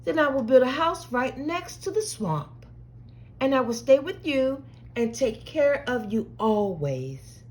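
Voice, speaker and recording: Tender, patient storybook mama, voiced by a woman, high-pitched. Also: a little slow. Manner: tender, soothing, expressive. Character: loving, patient, reassuring storybook mother.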